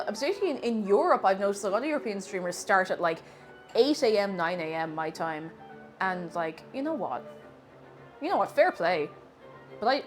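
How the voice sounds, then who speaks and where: An Irish woman with a sniffly cold, chatting casually with viewers while cooking on a live stream. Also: mid-range voice. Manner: chatty and animated, thanks viewers warmly.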